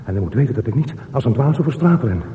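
An actor playing a solitary, obsessive aging man in a radio drama, talking to himself in halting, repetitive phrases. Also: mid-range voice, animated, phone-quality sound, lightly acted. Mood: brooding. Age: older. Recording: roomy echo with faint noise.

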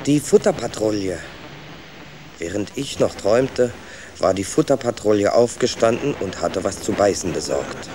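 An actor playing a young sewer rat who calmly narrates his story in a children's radio play, measured and matter-of-fact. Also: on the low side, background noise, close to an everyday voice. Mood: calm, storytelling.

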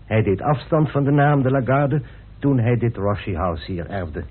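An actor playing a courteous, grave gentleman telling of a family mystery in a radio play. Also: medium pitch, moderate pace, slightly rough, lightly acted. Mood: solemn, confiding. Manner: polite, solemn, storytelling. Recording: muffled, band-limited old radio recording.

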